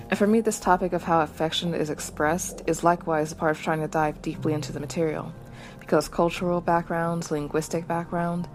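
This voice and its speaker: A woman hosting a podcast, giving thoughtful solo commentary in a measured, reflective way with small digressions. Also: fairly low pitch, a little mellow. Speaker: podcast host reacting to anime.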